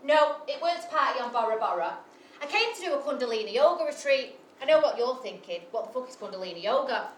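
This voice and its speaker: A woman tells a comic story live, brash and sweary and quick to mock herself. Her pitch sits fairly high and moves a great deal. She is heard from far off in an echoey venue with faint room ambience. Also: animated, a little hiss or hum. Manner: brash, sweary, self-mocking, full of asides.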